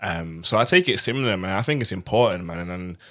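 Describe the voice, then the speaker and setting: A man's voice: sits low, lively intonation, fast, a bit bright. A man with a Manchester accent chatting casually as a podcast guest, slangy and repeating words for emphasis. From Manchester, England. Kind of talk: casual chat.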